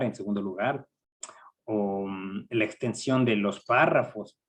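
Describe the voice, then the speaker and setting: Ecuadorian man leading a workshop. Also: on the low side. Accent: Ecuadorian Spanish. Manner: measured, hesitant with frequent fillers. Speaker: university research director giving a workshop. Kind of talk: academic training seminar.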